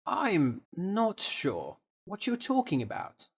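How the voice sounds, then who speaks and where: An actor playing an evasive, polite soldier in an audio play, deferential and affectedly well-spoken. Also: male voice, high-pitched, huge pitch swings, slow, breathy edge, exaggerated voice. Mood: guarded, polite. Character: evasive, polite male soldier suspected of murder.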